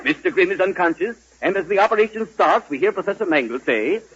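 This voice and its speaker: Casting: gushing 1940s radio announcer, very high and bright, lively sales patter, old noisy recording. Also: male voice, quick pace, breathy edge, heavily acted. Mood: hushed excitement. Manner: gushing mock sales pitch, repeats slogans. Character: excitable radio announcer hawking a miracle drink. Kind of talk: 1940s radio comedy acting. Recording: noisy, dull vintage 1940s radio broadcast.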